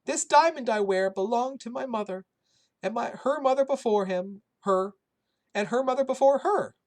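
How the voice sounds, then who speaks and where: A woman voicing a cheerful, kindly old Polish woman who cooks for others, speaking with a Polish accent in a high, doting voice. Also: moderate pace, darkish tone, natural voice.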